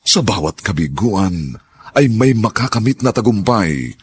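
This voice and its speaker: A man's voice: lowish voice, sing-song, a little slow, husky. A man announcing the opening of a radio drama with solemn, sweeping narration. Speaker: show announcer. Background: theme music.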